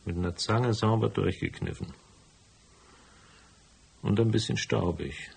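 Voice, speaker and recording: An actor playing a dry, laconic, world-weary private detective in a radio drama, with a gravelly voice. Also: male voice, fairly low pitch, lively intonation, measured pace, darkish tone, full character voice. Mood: dry, guarded. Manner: laconic, sardonic. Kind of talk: radio drama dialogue and first-person narration.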